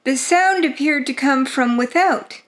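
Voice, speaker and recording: A woman's voice: high-pitched, a little slow, a bit bright, voice pushed up. A woman playing a placating male courtier who offers polite guesses, in a dramatic gothic story reading.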